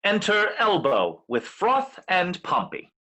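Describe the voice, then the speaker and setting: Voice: mid-range voice, wildly swooping pitch, slow, bright, forceful tone. A reader announcing scenes and entrances as scripted stage directions.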